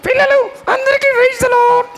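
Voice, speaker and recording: A man voicing a cheeky, chatty little girl puppet in a squeaky falsetto, playful and bossy, for a children's puppet show. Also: level tone, fast, a crowd in the background, background noise.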